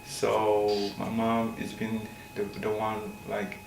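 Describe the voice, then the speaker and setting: A man's voice: fairly low pitch, completely flat delivery, slow pace. Young Ghanaian man, echoey noisy room. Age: young adult. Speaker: student athlete interviewee. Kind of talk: casual, informal talk about family. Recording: echoey room with clear noise.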